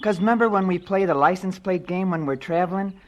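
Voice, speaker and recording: A woman's voice: lowish voice, fairly quick, darkish tone, natural voice. A woman playing an earnest, argumentative and observant country schoolboy in a 1970s radio drama, speaking insistently. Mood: curious.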